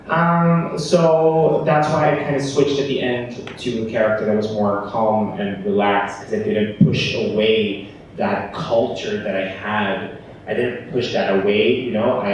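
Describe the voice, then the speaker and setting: A young man hosting a live student panel in casual, informal talk, with apologetic asides. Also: lowish voice. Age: young adult. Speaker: host of a student panel event. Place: large hall at a live event. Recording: echoey room from far off, faint noise.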